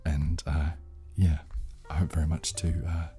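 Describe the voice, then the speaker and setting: A man in his thirties hosting in soft-spoken ASMR chat, close to a studio microphone. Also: rumbling low, level tone, normal speed, faint music behind. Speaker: channel host. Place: small room, indoors. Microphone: close studio condenser microphone.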